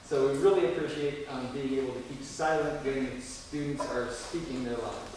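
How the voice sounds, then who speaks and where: A man who teaches, giving a halting, informal live welcome speech before a school play. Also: medium pitch. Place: large echoing indoor performance space. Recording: very echoey hall from far off, faint noise.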